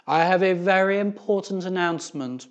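Loud, officious school principal, acted by a man. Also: high-pitched, normal speed, pitched above the performer's own voice, close to an everyday voice. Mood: officious, emphatic. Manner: emphatic, announcing. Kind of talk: children's storybook character reading.

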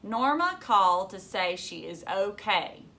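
Woman reading a measured storybook father. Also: mid-range voice, sing-song, creaky, bright, forceful tone, a little hiss or hum, exaggerated voice. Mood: calming. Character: worried father trying to stay calm, in a storybook.

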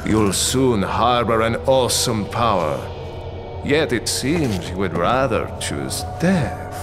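A man playing a pompous older cult-leader villain in a video game, speaking in a grandiose, deliberate manner. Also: lowish voice, big pitch swings, over music. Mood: menacing, condescending. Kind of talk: video game cutscene acting.

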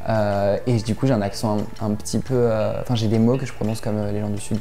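A young man from southern France answering quick-fire questions on a lapel mic in a small room, hesitating with many fillers and laughing often. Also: on the low side, little pitch movement, mellow, faint music behind. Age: young adult. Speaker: guest youtuber.

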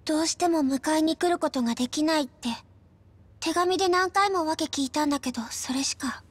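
A woman voicing an earnest, polite little girl, lonely but determined, in a Japanese video game drama, with a soft childlike manner. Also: high voice, brisk, lightly acted. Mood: downcast.

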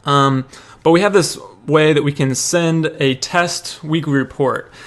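A young man with a somewhat high-pitched voice walks through a screen-recorded demo. His delivery is informal and conversational, like someone casually showing how a tool works from his computer. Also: steady tone, measured pace. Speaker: product demo presenter.

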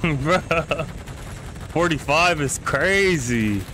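A man's voice: on the high side, animated, extremely slow, sharp tone, slight background noise. A man giving casual livestream reactions to a game in slangy, repetitive phrases with plenty of swearing. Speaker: game streamer.

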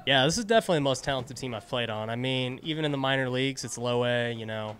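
Brief: young male infielder, earnest and modest, mid-pitched, handheld mic. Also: expressive, quick pace, fairly bright tone. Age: young adult. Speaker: baseball infielder. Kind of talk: post-game interview. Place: indoors in front of a sponsor backdrop. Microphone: handheld interviewer mic.

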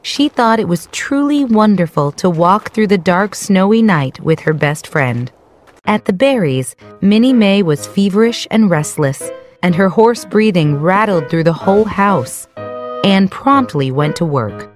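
A woman giving scripted narration for a children's story in a clear storytelling delivery. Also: mid-range voice, lively intonation, a little slow, faint music behind.

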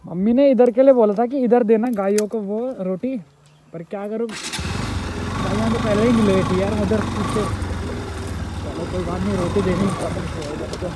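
Chatty young man, loud engine noise. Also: high voice, fairly even intonation, normal speed, pauses often. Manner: informal, chatty, addressing viewers. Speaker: motovlogger. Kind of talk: casual vlog narration while riding. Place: outdoors, riding a motorbike through streets. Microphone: phone mounted on rider. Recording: very noisy outdoor motorbike engine noise.

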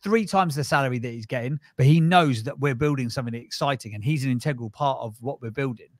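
A man in his thirties, a podcast agency founder, giving direct, animated advice in a casual interview over a studio mic with headphones. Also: mid-range voice, fast talker, dry recording. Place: small room with acoustic foam panels. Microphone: studio mic on arm, headphones.

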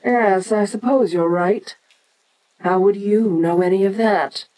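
A woman playing an ancient, domineering giantess kaiju queen in an audio roleplay, imperious and teasing yet protective, speaking with deliberate pauses. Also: medium pitch, dark tone, close to an everyday voice, poor audio. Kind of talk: second-person audio roleplay acting.

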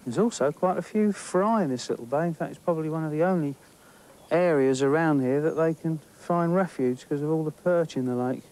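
Chatty middle-aged English angler outdoors. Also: male voice, on the high side, a little fast, darkish tone, slight background noise, rough recording. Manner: chatty and enthusiastic, thinking aloud with small restarts. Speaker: angling presenter. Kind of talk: on-location nature commentary while fishing. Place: outdoors at a tree-lined lake bank.

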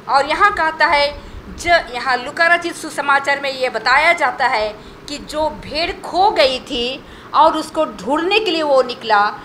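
A middle-aged woman preaching a sermon at a church pulpit, halting and deliberate, often repeating phrases. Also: high-pitched, fairly bright tone, slight background noise. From India. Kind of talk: sermon, partly read from notes. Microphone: gooseneck podium microphone.

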